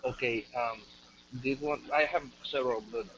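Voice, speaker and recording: A man in his twenties from Mexico with a Mexican Spanish accent, a native Spanish speaker telling a story haltingly over a voice call, full of fillers and restarts. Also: medium pitch, fairly even intonation. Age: 20s. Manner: halting, many fillers and restarts, soft-spoken. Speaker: guest storyteller. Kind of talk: hesitant casual storytelling. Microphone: computer mic on a voice call. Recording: dull muffled voice-chat audio with faint hiss.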